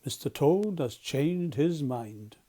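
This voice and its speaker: A grave, commanding badger from a children's storybook, put on by a male narrator. He is emphatic and scolding, with a mellow tone. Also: on the high side, expressive, takes it slowly. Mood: stern command. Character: stern, solemn male badger, commanding elder friend.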